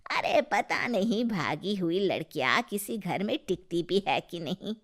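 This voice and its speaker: A woman's voice: medium pitch, sing-song, a little slow. A woman voicing a spiteful, gossiping middle-aged aunt in a cartoon story, with a sneering tone. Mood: gossipy.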